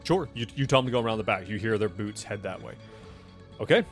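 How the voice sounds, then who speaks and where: A man's voice: fairly low pitch, animated, fast talker. A man running a tabletop roleplaying game, improvising narration and explaining scenes and rules in a conversational way. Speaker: game master. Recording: music playing faintly behind.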